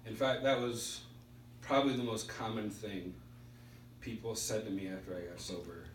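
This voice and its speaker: Middle aged guy sharing his story at a meeting, stutters, choppy pauses, roomy sound w some noise. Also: fairly low pitch, fairly even intonation. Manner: halting, spaced-out delivery with pauses. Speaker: speaker at a recovery meeting. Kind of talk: personal testimony speech. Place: indoor meeting room. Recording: roomy, distant mic with background hiss.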